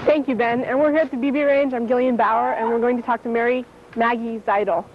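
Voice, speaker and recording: A woman's voice: on the high side, steady tone, quick pace. A young female interviewer for a camp newscast, asking questions hesitantly, with restarts. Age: teens. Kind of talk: amateur camp news interview. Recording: muffled camcorder tape with hiss.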